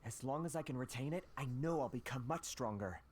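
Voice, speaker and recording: An actor playing an earnest, reflective everyday citizen turned rebel recruit in a video game. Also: male voice, medium pitch, lively intonation, a little fast, a little mellow, a little hiss or hum, close to an everyday voice. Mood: grateful, determined.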